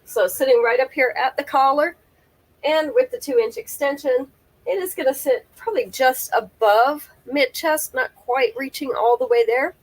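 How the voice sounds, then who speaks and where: Woman, slightly high voice, stuffy nose, chatty live seller, detailed descriptions, close camera mic. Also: normal speed, poor audio. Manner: chatty, careful item-by-item descriptions.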